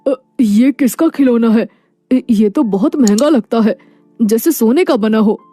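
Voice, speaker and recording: A woman voicing a humble, proud poor husband in a moral story, calm and earnest. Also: on the high side, measured pace, faint music behind. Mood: wondering. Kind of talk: animated moral story dialogue.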